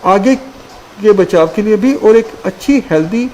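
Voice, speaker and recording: Calm man giving health advice. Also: sits high, measured pace, slight background noise, low-quality recording. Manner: calm, patient, instructive. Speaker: medical expert on call-in show.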